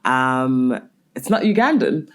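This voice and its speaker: A woman's voice: rumbling low, big pitch swings, slow pace. A woman in her twenties, raised in Botswana, speaking as a podcast guest in a reflective, candid way with casual fillers. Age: 20s.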